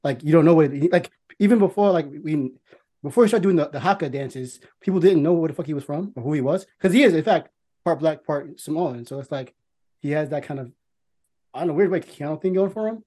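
A man in a casual movie discussion, talking in a rambling, halting way with plenty of swearing. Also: a little high, dark tone. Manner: rambling, halting, profanity-laced. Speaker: podcast co-host.

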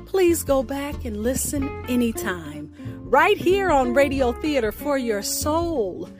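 Woman, high pitch, slow pace, deliberate announcer delivery, podcast host. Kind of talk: scripted show outro, announcing. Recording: music bed behind the voice. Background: guitar music.

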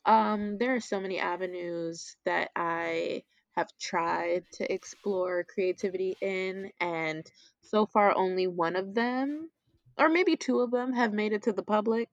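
A woman's voice: medium pitch, a little slow, no echo, muffled recording. A woman co-hosting a casual conversation, talking openly and reflectively with frequent restarts.

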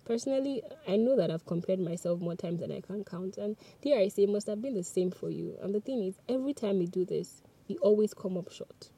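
A woman's voice: mid-range voice, expressive, brisk, hardly any pauses, warm tone, slightly rough. A woman whose first language is Yoruba, giving an earnest scripted motivational talk on a podcast and speaking straight to the listener. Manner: earnest and chatty, addresses the listener directly.

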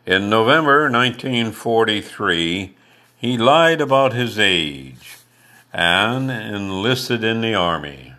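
An older man hosts his own podcast, reading a prepared biography at an unhurried pace. His voice sits a little low but has a bright, forward edge. He is from Canada, and French was his first language. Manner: measured, deliberate reading. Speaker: podcast host. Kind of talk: scripted biographical narration.

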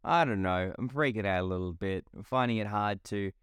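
A man in his twenties with a fairly low voice, hosting a motivational podcast on his own. He is casual and blunt and swears freely. The sound is dry and close, with no room echo. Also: a little fast, a bit bright, clear, resonant tone. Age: 20s. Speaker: podcast host. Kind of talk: solo motivational podcast monologue.

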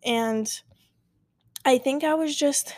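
A young woman in her twenties talks through her own podcast, rambling and cutting herself off mid-thought. Her voice sits a little high. The sound is dry and close, with no room in it. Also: takes it slowly, darkish tone, dry recording. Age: 20s. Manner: rambling, filler-heavy, self-interrupting. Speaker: podcast host. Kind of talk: casual solo podcast chat. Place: at home, late at night.

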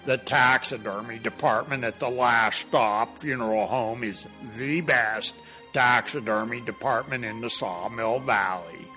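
Casting: male actor as folksy, morbid small-town undertaker pitchman, forceful tone, slow deadpan delivery, spoof radio spot over light music. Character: folksy, cheerfully morbid funeral home and taxidermy pitchman.

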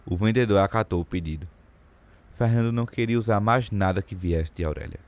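A man's voice: on the low side, brisk. A man giving scripted literary narration for an audio drama.

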